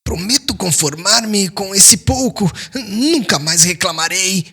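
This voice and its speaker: Man acting a distraught old father, pleading high. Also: animated, slow pace, pushed and bright, breathy edge, full character voice. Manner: pleading, humble. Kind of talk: audiobook character acting.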